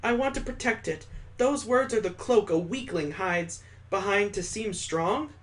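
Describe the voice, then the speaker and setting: Man putting on a wistful, high-pitched young heroine. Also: measured pace, a bit bright. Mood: earnest. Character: gentle, wistful, sentimental young woman, visual novel heroine.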